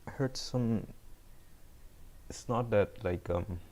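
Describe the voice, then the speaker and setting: A man's voice: on the low side, takes it slowly, a little mellow. A young man from Cambodia, a first-year student, chatting casually with his viewers while streaming, often repeating and restarting words. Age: young adult. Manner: rambling, repeats words, chats with chat. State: cold. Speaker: live coding streamer.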